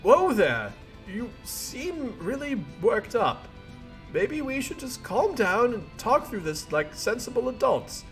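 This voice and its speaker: A man's voice: very high-pitched, very animated, slow pace, soft background music, heavily acted. A man voicing a cheerful, oblivious young male adventurer who rambles earnestly in wordy, folksy old-fashioned slang. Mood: placating, sheepish.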